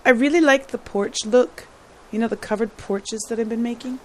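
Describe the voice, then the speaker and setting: A woman with a hoarse throat, chatting casually and rambling as she hosts a gaming build video, often laughing and clearing her throat. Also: a little high, moderate pace, a little mellow. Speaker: gaming channel host. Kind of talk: casual gameplay commentary.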